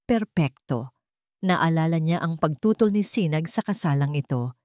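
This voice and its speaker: A woman's voice: sits low, lively intonation, normal speed, dark tone, no room sound, studio quality. A woman reading a scripted dramatic story as its narrator.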